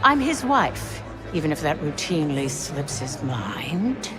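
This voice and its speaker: A woman's voice: low-pitched, big pitch swings, a little slow, over loud music. A woman playing a bitter, haughty politician's wife in a video game, arch and disdainful as she talks. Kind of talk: video game cutscene acting.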